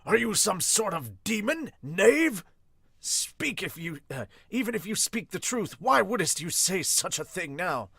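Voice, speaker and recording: A man's voice: sits high, very animated, a little slow, gravelly, a bit bright, husky, no echo, clean studio sound, pitched above the performer's own voice, exaggerated voice. A man voicing a stern, indignant old tengu swordsmith with formal, archaic speech, reading a manga aloud. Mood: indignant scolding. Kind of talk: manga read-aloud dub.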